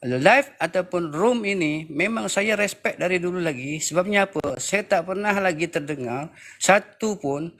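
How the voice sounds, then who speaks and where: A man's voice: high voice, moderate pace, fairly bright tone. A man in his fifties with a Malaysian accent gives informal political commentary, speaking emphatically with repeated phrases and rhetorical questions. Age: 50s. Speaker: panel speaker in a live political discussion room.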